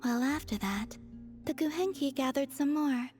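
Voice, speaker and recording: A woman voicing a serene young moon goddess in a video game, soft-spoken, composed and unhurried. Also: sits high, natural voice. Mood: serene, wistful. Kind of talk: animated video game voice acting. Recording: faint music behind the voice. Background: faint game music.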